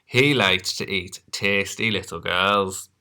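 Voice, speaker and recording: Sly growly big bad wolf from a kids storybook, guy putting it on, bright sharp tone. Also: low-pitched, slow, clear, resonant tone, heavily acted. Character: hungry scheming male big bad wolf.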